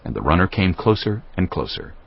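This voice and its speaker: Very deep-voiced male scripture narrator. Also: very animated, a little fast, gravelly, slightly rough. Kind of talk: dramatized audio Bible narration. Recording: dull lo-fi audio.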